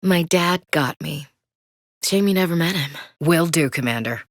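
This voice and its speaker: A woman's voice: deep voice, lively intonation, normal speed, no echo. An actress voicing a tough, loyal woman soldier in a sci-fi video game, talking frankly and steadily in a military way. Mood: steady. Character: tough female human soldier, blunt and loyal. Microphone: close studio mic. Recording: dry studio recording.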